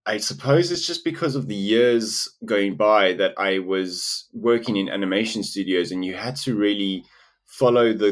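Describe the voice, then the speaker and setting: A man from South Africa, English not his first language, answering interview questions in a hesitant way with many restarts. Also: low-pitched. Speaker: illustrator and animator guest. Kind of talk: casual interview.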